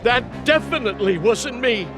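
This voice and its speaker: A man's voice: very high-pitched, lively intonation, normal speed. A man voicing a cheerful, dim, easygoing pilot duck in a cartoon game, folksy and goofy. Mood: earnest. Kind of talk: animated game voice acting. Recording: background music under the voice.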